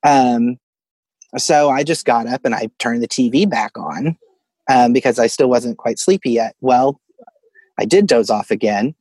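A man's voice: mid-range voice, unhurried, darkish tone, breathy edge, no room sound. A man from Arkansas calling in to tell a personal story in a casual, chuckling way. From Arkansas, United States. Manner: chuckles through his story. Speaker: caller telling a personal ghost story. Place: closet at home.

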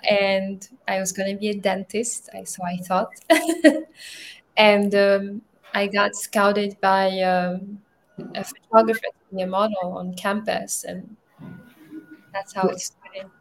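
Halting, gravelly woman on a video call. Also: medium pitch, level tone, rough recording. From Ethiopia. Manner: thoughtful, halting, many restarts and ums. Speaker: filmmaker guest.

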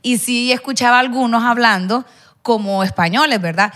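Outspoken Honduran woman, fairly high voice. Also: moderate pace, fairly bright tone. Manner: outspoken, opinionated. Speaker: podcast guest. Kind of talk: casual chat.

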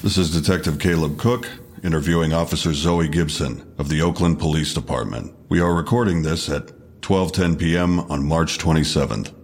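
An actor playing an unflappable police detective in an audio drama, questioning in a measured, official manner. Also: male voice, a bass voice. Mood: formal, controlled.

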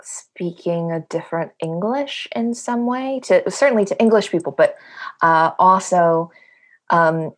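Low-voiced hesitant New woman. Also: unhurried. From New York. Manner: hesitant, many fillers, laughs often. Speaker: playwright. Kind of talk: podcast interview, thoughtful.

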